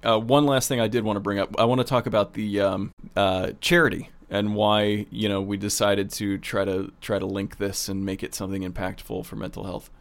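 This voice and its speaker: A man's voice: on the low side, little pitch movement, fairly quick, creaky, hardly any pauses. A man co-hosting as a podcast producer, chatting casually and enthusiastically about behind-the-scenes work. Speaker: producer and co-host of an audio drama podcast.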